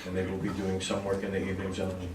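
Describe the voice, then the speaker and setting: Low-voiced man in a roomy, noisy hall. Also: monotone, fast, distant mic. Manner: formal, deferential, explanatory. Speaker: school district staff member presenting a proposal. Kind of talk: answering questions at a public board meeting. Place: indoor meeting room.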